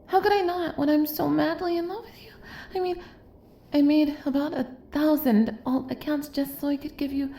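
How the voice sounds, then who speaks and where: A woman's voice: high voice, echoey room. A woman playing an obsessive, lovestruck kidnapper in an intimate audio roleplay, doting and possessive, coaxing the listener with hesitant asides. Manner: soft, coaxing, hesitant asides, drops into whispers.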